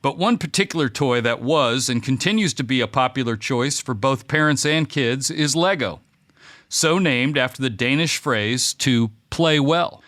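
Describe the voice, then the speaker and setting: A man's voice: medium pitch, normal speed, a bit bright, no echo. Middle-aged guy hosting a podcast, friendly polished delivery, mid voice, dry close sound. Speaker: podcast host. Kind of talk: scripted intro, then interview chat.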